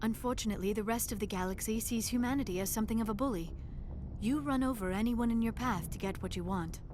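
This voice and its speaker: A woman's voice: fairly high pitch, fast, background noise, natural voice. A woman playing a shy, earnest alien scientist in a video game, speaking formally and carefully. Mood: earnest, reflective. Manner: formal, careful diction without contractions. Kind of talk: video game drama acting. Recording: loud music behind dialogue.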